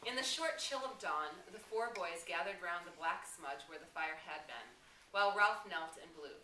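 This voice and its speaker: A woman's voice: fairly low pitch, lively intonation, normal speed, bright, forceful tone. A woman teacher talking to her class and reading a novel aloud in a classroom. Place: indoor classroom. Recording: echoey room, distant mic, background noise.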